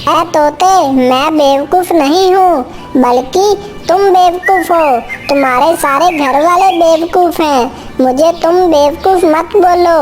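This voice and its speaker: A woman plays a tiny female cartoon bird with an extremely high voice. She speaks slowly and simply, earnest and quick to take offence, as in a children's moral story. Faint music plays underneath. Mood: indignant. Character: small female bird, indignant but peace-loving storyteller.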